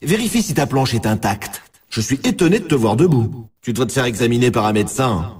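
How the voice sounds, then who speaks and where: A man's voice: mid-range voice, expressive, a little fast, darkish tone, husky, far from the mic. A man playing a brash, teasing snowboard race announcer in a video game, with punchy scripted delivery. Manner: punchy exclamatory delivery in short scripted lines. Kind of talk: scripted sports announcer lines with colloquial address.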